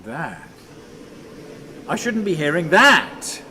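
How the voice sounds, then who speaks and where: A haunted, volatile husband in a radio play. His fairly high voice swings widely as he broods at a slow, obsessive pace. A clear rumbling noise sits behind him. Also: sing-song, pushed and bright, heavily acted. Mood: bitter, defensive. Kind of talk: radio drama acting.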